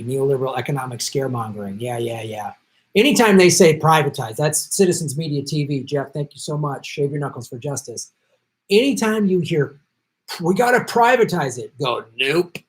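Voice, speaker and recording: A middle-aged man hosting a live stream, chatting casually and reading viewer comments while he is losing his voice and jet-lagged. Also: fairly high pitch. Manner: chatty, thanks viewers by name, quick asides. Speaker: livestream host.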